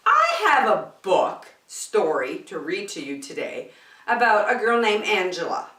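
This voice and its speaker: A woman hosting story time, reading a children's book aloud in an expressive, deliberate, sing-song way. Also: medium pitch. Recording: echoey room.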